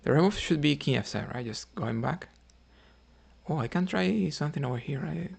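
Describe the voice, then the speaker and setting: A man from Cuba, streaming his chess games and quietly thinking his moves out loud. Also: on the high side, animated, fairly quick, vocal fry, husky. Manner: thinking aloud, muttering moves quietly, hesitant.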